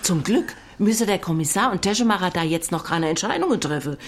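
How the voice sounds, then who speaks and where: An actress playing a storyteller who recounts a police case in a radio drama. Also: female voice, fairly low pitch, animated, fairly quick. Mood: matter-of-fact. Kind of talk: scripted radio drama narration.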